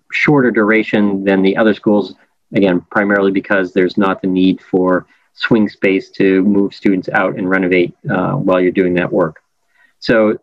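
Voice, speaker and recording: A man with a fairly low voice gives a project update over a video call, pausing often with fillers and restarting his sentences. The audio is dull and lo-fi, with a dry, close sound. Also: no room sound. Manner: halting, many fillers and restarts. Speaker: project architect presenting to a school board.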